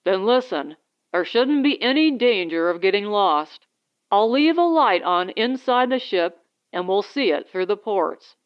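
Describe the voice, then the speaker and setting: A woman's voice: mid-range voice, lively intonation, a little slow, clear, resonant tone, muffled recording, lightly acted. A woman voicing a protective husband who captains a small spaceship, giving blunt instructions in an audiobook story. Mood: instructing.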